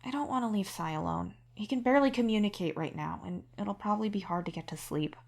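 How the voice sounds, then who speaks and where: A woman's voice: mid-range voice, fast, creaky, a little mellow, pitched above the performer's own voice. A woman voicing a sleep-deprived young man, stubborn and devoted, with a tired, reassuring manner.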